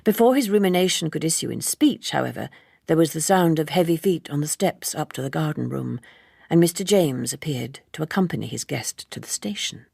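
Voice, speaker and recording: A woman reading literary narration in a measured, expressive storytelling manner. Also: low voice, creaky, slightly rough, no echo, clean studio sound. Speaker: audiobook narrator.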